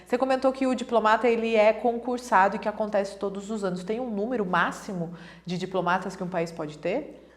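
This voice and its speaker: A woman hosts a podcast in a casual, friendly chat. Her voice sits in a middle pitch. She affirms what her guest says and asks follow-up questions. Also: brisk. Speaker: podcast host.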